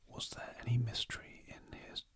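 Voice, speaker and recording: A narrator voicing a grieving, dignified and reticent widow from a storybook in a breathy, formal whisper. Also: moderate pace, fairly bright tone, lightly acted. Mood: composed, wistful.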